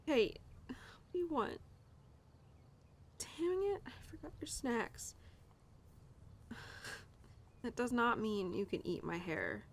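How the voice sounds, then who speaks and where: A woman playing an upset young cowgirl talking tenderly and haltingly to her horse in an intimate audio roleplay. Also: sing-song, exaggerated voice. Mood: weary, gently amused. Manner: halting, casual, sighing, talking softly to an animal. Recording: clear background noise with low rumble.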